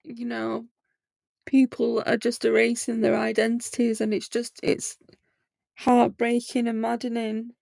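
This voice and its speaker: A woman's voice: fairly high pitch, completely flat delivery, unhurried, creaky, darkish tone, dry recording. A woman in her late twenties hosting a podcast, talking candidly in a rambling personal monologue with lots of fillers. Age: 20s. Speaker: podcast host.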